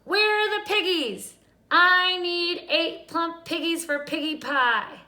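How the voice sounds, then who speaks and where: A woman's voice: extremely high pitch, takes it slowly, pitched above the performer's own voice, full character voice. A woman reading aloud and voicing a greedy, bossy witch who screeches and hurls insults. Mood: bossy, screeching. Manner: shouting insults. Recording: roomy echo.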